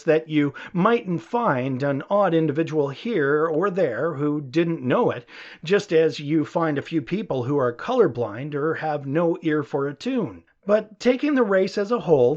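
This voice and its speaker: A man reading an essay as an audiobook in a deliberate, expressive, explanatory manner, as scripted narration. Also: sits high. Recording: dull, lo-fi audio.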